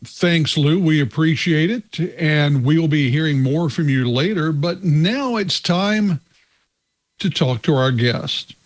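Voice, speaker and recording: Guy hosting a tech show, slightly high voice, talks real slow and careful. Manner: measured, deliberate questioning. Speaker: podcast host.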